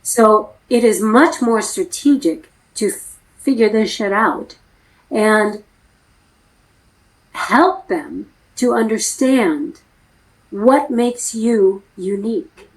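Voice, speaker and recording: A woman's voice: mid-range voice, lively intonation, slow, long pauses, low-quality recording. A middle-aged American woman talking frankly and emphatically as an acting coach in a casual interview. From United States.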